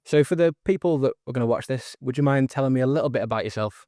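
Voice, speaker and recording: A man's voice: mid-range voice, fast talker, warm tone, no room sound, studio quality. A man from northern England hosting an interview, asking questions in a casual, hesitant way with many fillers. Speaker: interviewer, host.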